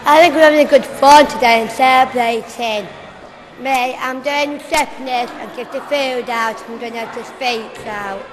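A woman's voice: fairly high pitch, moderate pace. A woman who works as a cafe trainee, making simple, earnest remarks in a large cafe hall. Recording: echoey hall with chatter behind.

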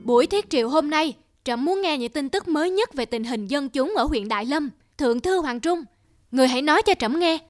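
Woman voicing a stately old emperor, high-pitched. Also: animated, a little fast, no echo, studio quality, faint music behind. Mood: gracious. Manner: formal, regal. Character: stately, benevolent elderly male emperor. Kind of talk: historical cartoon folktale dub.

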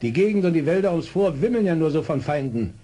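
An actor playing a proud, beleaguered British fort colonel in a German audio drama, speaking in a formal, measured military manner. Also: male voice, a little high, expressive, mellow. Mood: grateful, gruff.

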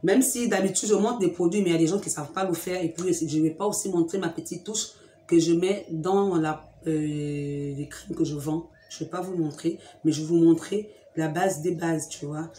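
Young woman vlogger, down with a cold, mellow tone, lively, teasing, echoey room. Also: low-pitched, normal speed, slight background noise. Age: young adult. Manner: lively, talks straight to viewers, teasing. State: has a cold. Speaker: beauty vlogger. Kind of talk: chatty direct-to-camera vlog. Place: room at home with a fridge and sofa.

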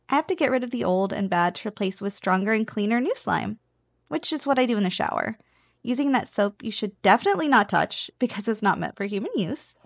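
A woman's voice: on the high side, vocal fry, no echo, studio quality, heavily acted. A woman playing a playful, teasing slime girl roommate in a casual, chatty ASMR roleplay. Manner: teasing, chatty, conversational with chuckles. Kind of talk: ASMR roleplay, one-sided casual conversation.

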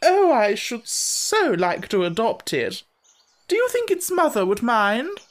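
A storybook reader voicing a vain, impulsive society lady who gushes in a high, fluttering voice. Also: huge pitch swings, measured pace. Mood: gushing. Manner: gushing, high-flown and breathless.

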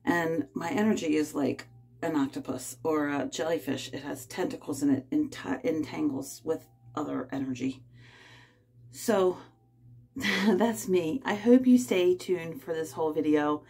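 Fifties woman, low voice, rambling phone vlog from a bathroom. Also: vocal fry, warm tone, breathy edge. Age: 50s. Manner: rambling, halting, many fillers. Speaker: vlogger. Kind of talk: casual personal vlog. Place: small bathroom, in a bathtub. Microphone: phone held close.

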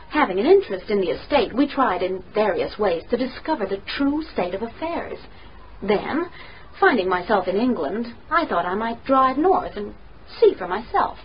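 A woman's voice: mid-range voice, animated, moderate pace, lightly acted. Woman acting frank young lady in radio drama, swoopy intonation, muffled faintly hissy sound. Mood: confessing, earnest. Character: frank, self-possessed young woman heiress.

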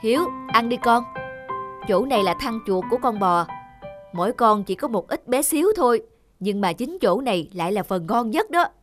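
Woman playing a high-voiced, caring cartoon mother. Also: animated, moderate pace, soft background music, natural voice. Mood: coaxing. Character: caring mother coaxing her fussy son to eat. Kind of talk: cartoon voice acting.

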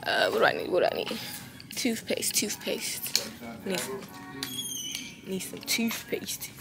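A woman's voice: mid-range voice, slow pace, creaky, over music. A teenage girl, vlogging in a casual, rambling way, with a stuffy, congested nose. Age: teens. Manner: chatty and candid, rambling asides. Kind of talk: casual vlog chat.

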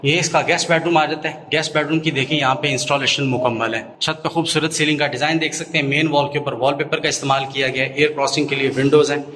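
A man with a Pakistani Urdu accent gives a real estate house tour as a YouTube host, in steady salesman patter with stock phrases. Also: fairly high pitch, a little hiss or hum. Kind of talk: walkthrough narration. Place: inside an empty new house.

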